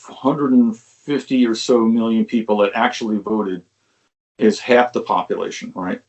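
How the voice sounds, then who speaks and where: A man's voice: lowish voice, steady tone, normal speed, a little mellow, rough recording. An older man from Long Island, a musician telling stories from his youth in a rambling, casual way. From Long Island, New York. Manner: rambling, frequent restarts, swears casually, chuckles. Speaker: musician guest. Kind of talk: conversational interview storytelling.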